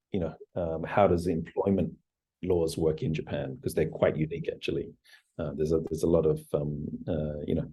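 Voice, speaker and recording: A man in his forties talks through his experience as a founder. His voice is husky and low. He pauses often, piling up fillers and restarting sentences as he reflects. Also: dark tone. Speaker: startup CEO guest. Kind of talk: interview answers.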